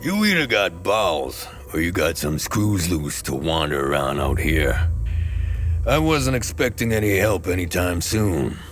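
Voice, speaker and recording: A man voicing a wary, haunted town mechanic in a dramatic video game, blunt and halting in delivery. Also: low-pitched, very animated, a bit bright, faint music behind, exaggerated voice. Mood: grim, shaken. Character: wary, haunted male town mechanic in a war-torn land. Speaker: town mechanic and scrapyard owner.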